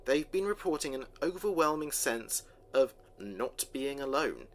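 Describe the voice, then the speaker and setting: A man playing an earnest space communications officer, recording logs in a measured, thoughtful way for a sci-fi audio drama. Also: mid-range voice, sing-song. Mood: serious, uneasy. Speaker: communications officer keeping a mission log. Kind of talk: audio drama log narration.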